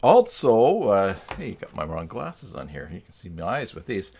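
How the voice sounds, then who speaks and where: A man's voice: fairly low pitch, wildly swooping pitch, normal speed, creaky, a little mellow, a little hiss or hum. A man hosting a tutorial live stream in a casual, folksy, joking and meandering way, speaking into a headset microphone.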